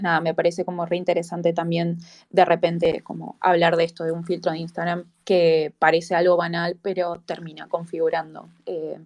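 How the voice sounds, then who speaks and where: A woman's voice: fairly low pitch, a little mellow, dry recording. A woman giving reflective commentary as a discussion guest, stringing her thoughts loosely together with hedging asides. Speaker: panel guest.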